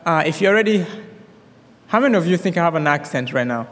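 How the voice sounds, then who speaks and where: A young man with a Rwandan accent tells his life story to a church congregation. He talks fast and eagerly, often stopping to restart a phrase. His voice sits a little high and carries a long reverb off the walls of a big hall. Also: slight background noise. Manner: fast, excited, with many restarts. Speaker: guest speaker. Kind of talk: personal testimony in church.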